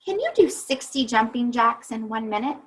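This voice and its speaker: A woman reading a children's picture book aloud like a teacher, pausing to think aloud and explain. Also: fairly high pitch, lively intonation, a bit bright, slightly rough.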